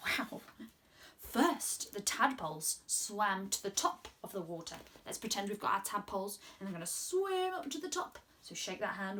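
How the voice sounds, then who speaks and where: A woman in her twenties reading a story to children in an expressive, encouraging way. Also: a little high, a little hiss or hum. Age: 20s. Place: small room at home, indoors.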